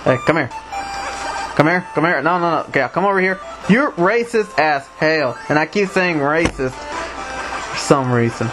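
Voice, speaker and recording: Grumbling Mexican-accented gamer, higher voice. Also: male voice, expressive, a little slow. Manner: grumbling, talks to the game characters, hums and repeats himself. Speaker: let's play commentator. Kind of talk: casual gameplay commentary. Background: retro game music.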